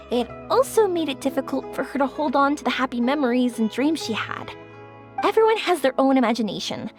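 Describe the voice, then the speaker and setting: Kind, high-voiced young heroine. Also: female voice. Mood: earnest, thoughtful. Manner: gentle, encouraging, soothing. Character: kind, reassuring young woman, earnest and caring. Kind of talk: English video game voice acting. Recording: music behind the voice. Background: game background music.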